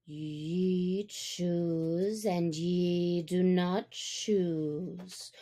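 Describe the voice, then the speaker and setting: A menacing male tiger from a storybook, acted out by a woman reader. The voice drops low and drags each phrase out very slowly, imperious and full of angry threat. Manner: imperious, drawn-out, threatening delivery. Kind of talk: storybook narration character voice.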